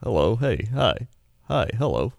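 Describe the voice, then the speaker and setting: A man's voice: mid-range voice, sing-song, a little slow, creaky, no echo, clean studio sound. A man co-hosting a casual podcast, talking in a laid-back, droll way. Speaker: podcast co-host.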